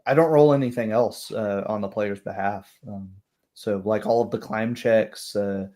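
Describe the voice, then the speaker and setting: A man's voice: fairly low pitch, fairly even intonation, normal speed, warm tone. Man chatting as a game master. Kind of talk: casual group discussion of a roleplaying game.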